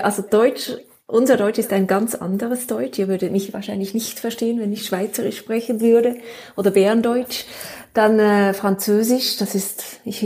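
A woman's voice: medium pitch, a little mellow. A Swiss woman with a Swiss German accent, a crime author chatting as a guest in a casual podcast talk. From Switzerland.

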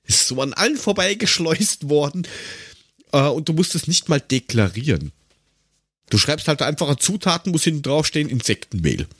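A man co-hosting a podcast in casual, joking chat, rambling and haltingly searching for words with a dry sarcastic streak. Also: a little high, big pitch swings, fairly bright tone, husky, dry recording. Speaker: podcast co-host.

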